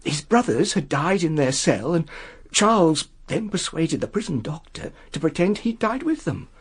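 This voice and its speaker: A frail, evasive older man in a radio drama. His voice is fairly high for a man and husky, swinging widely in pitch. He speaks haltingly, with stammers, as someone haunted would. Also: sing-song. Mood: uneasy confiding. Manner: hesitant, stammering, halting storyteller. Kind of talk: British radio drama acting.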